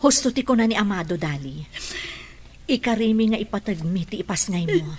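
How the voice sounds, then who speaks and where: A woman with an Ilocano Filipino accent playing a gentle, anxious middle-aged mother in a radio drama, earnest and emotive. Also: medium pitch, sing-song, fairly quick, slight background noise, natural voice. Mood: reassuring.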